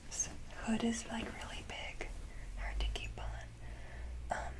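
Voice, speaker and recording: A woman whispering softly in a casual ASMR style, talking to viewers with frequent hesitant pauses mid-sentence. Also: medium pitch, poor audio. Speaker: ASMR video creator.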